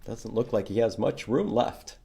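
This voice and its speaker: A man hosting a children's storytime, reading a picture book and teaching letters in simple, expressive language with questions for young listeners. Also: lowish voice, normal speed, vocal fry, slight background noise. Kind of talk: children's storytime read-aloud and letter lesson.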